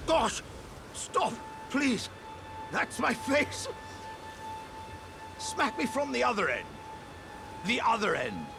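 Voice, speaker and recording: A man's voice: very high voice, wildly swooping pitch, takes it slowly, creaky, long pauses, music in the background, full character voice. A man playing a jovial, polite, boastful living warrior jar in a video game, with hearty, courtly, old-fashioned phrasing. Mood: panicked. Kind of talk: video game character dialogue.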